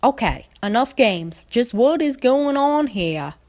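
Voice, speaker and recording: A woman's voice: on the high side, very animated, slow pace, darkish tone, pitched above the performer's own voice. A woman voicing a stern, no-nonsense country mare, an older sister with a firm, folksy drawl.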